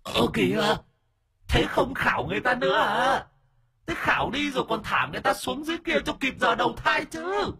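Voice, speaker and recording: A man voicing a whiny, pitiful male ghost with a shrill, wailing voice. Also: lively intonation, a little fast, bright, forceful tone, slightly rough, heavily acted. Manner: shrill whining.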